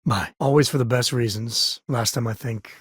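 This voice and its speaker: A very raspy, husky male voice for an easygoing spacefaring explorer and family man , acted by a man in a video game. He talks in a casual, folksy, wry way, and the sound is close and dry. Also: mid-range voice, normal speed, no room sound, lightly acted. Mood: wry, friendly. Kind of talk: video game character dialogue.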